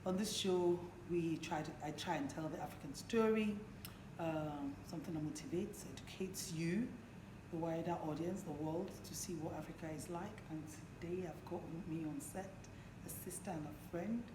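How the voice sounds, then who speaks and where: A hoarse woman from Nigeria, hosting a talk show in a conversational interview style. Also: lowish voice, normal speed. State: hoarse, partly lost voice. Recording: echoey room, clearly noisy background.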